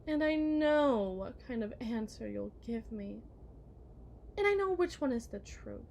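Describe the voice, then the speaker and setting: A woman's voice: a little high, expressive, unhurried, vocal fry, a little mellow, slight background noise. A woman playing a genteel, possessive kidnapper wife in a theatrical ASMR roleplay, condescending and syrupy sweet with veiled menace. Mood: softly menacing. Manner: mannered, condescending, syrupy endearments, veiled threats.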